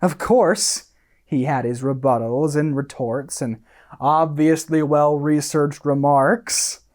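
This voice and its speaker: An actor playing a smug, self-satisfied content creator in a radio monologue, condescending and theatrical, with deliberate pauses. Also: medium pitch, steady tone, a little mellow, lightly acted. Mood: indignant, scornful.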